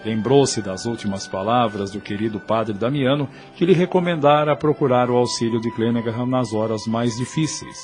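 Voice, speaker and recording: A man's voice: mid-range voice, steady tone, normal speed, hardly any pauses, darkish tone. Male audio drama narrator over music. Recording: music under the voice.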